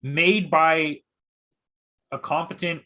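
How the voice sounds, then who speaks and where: A man's voice: fairly high pitch, fairly even intonation, slow, pushed and bright. A man in a casual movie discussion, speaking as a guest critic with emphatic, hyperbolic complaints.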